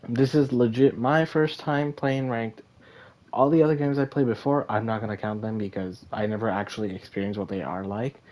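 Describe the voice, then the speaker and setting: A man's voice: medium pitch, fairly even intonation, moderate pace, a little mellow. A young man with a tired voice, talking casually over his gameplay in a rambling way, with lots of fillers and restarts. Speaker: gaming YouTuber.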